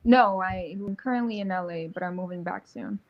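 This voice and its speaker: A woman in her twenties from New York, a podcast panel guest speaking quietly and softly. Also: on the low side, dull audio. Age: 20s. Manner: quiet, slow and soft-spoken.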